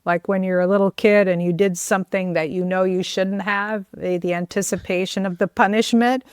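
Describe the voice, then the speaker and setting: A woman's voice: medium pitch, fairly even intonation, moderate pace, smooth, no room sound, studio quality. A woman with an American accent hosting a podcast, speaking conversationally as she interviews a guest. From United States. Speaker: podcast host.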